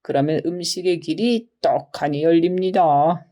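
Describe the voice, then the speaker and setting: A woman's voice: fairly low pitch, a little slow, warm tone, natural voice. A woman voicing a crafty male traveler who bluffs as a physician, with self-assured, old-fashioned speech in a storybook. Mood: confidently bluffing. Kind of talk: storybook character reading.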